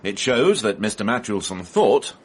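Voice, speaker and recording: An actor playing a formal, persistent police inspector in a radio drama, speaking precisely and probingly. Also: male voice, on the low side, very animated, moderate pace, slightly rough. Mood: accusing, measured. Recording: faintly noisy archive radio broadcast.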